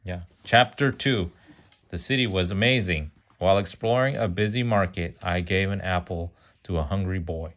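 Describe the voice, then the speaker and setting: A man's voice: deep voice, normal speed, a bit bright. A man reading a children's storybook aloud to a child, stumbling over words now and then, correcting himself and adding chatty asides.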